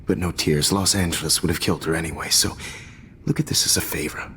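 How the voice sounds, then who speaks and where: A smug villainous teacher. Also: male voice, low-pitched, fast talker, fairly bright tone, a little hiss or hum. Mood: menacing. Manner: taunting, mocking, drops into whispers. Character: smug manipulative male photography teacher, a captor. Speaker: photography teacher. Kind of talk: video game voice acting.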